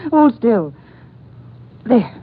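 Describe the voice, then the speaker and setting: A woman's voice: on the high side, big pitch swings, drawn-out words, breathy edge, full character voice. A woman playing a playfully stern young nurse in a 1950s radio drama. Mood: teasing. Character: teasing, no-nonsense young female nurse. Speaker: registered nurse. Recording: tinny old radio recording.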